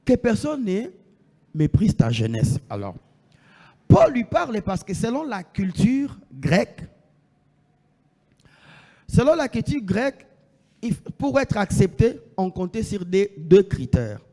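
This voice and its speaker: A man's voice: sits high, very animated, takes it slowly, long pauses, warm tone, in a large hall. A man in his thirties preaching a sermon, asking the congregation questions and answering them himself, repeating his phrases. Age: 30s.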